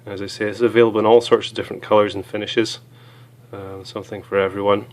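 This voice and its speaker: A hoarse man with a cold, chatting casually as he reviews small gear items, with many fillers. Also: on the low side, little pitch movement, quick pace, a little mellow.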